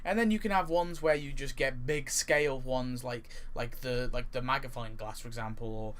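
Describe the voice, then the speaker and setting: A man's voice: medium pitch, normal speed, a bit bright, a little hiss or hum. A man giving casual, rambling ranking commentary as a video host, often hedging and repeating himself.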